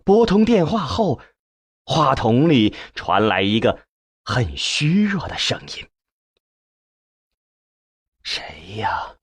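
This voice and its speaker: Guy reading an audiobook, really husky voice, slow and expressive, dry sound. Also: mid-range voice, no room sound. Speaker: audiobook narrator. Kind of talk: scripted dramatic narration of a novel.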